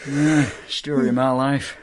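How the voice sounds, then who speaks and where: A man's voice: medium pitch, little pitch movement, measured pace, lightly acted. An actor in a radio drama playing a sleazy, chummy escort agency boss, with wheedling, rambling salesman patter. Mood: stoned, chummy.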